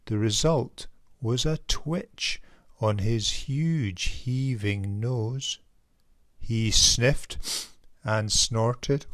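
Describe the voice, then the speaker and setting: A man narrates a rhyming picture book for children at an unhurried pace. His delivery is theatrical and emphatic, with careful measured phrasing. The sound is close and dry. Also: mid-range voice, fairly bright tone, dry recording. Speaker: children's author reading own picture book. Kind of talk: expressive rhyming storybook narration.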